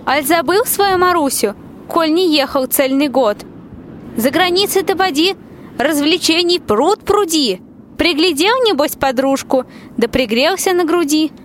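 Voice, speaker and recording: A plaintive, loving young wife played by a young woman speaks folk-tale verse in a radio play. Her voice is very high, with faint noise behind it. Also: expressive, unhurried. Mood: reproachful. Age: young adult. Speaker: school student actor.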